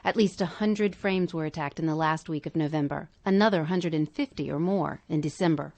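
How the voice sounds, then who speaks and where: Woman podcast narrator, lowish voice, reading a scripted history piece in a measured way. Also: a little mellow.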